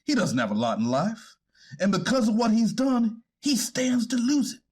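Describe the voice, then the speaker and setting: A man playing a deferential defense attorney in a courtroom readers theater, formal and hesitant when challenged, with a husky voice. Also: very high-pitched, sing-song, moderate pace, exaggerated voice. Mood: earnest, pleading. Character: deferential male defense attorney pleading for his client. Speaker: actor reading a defense attorney.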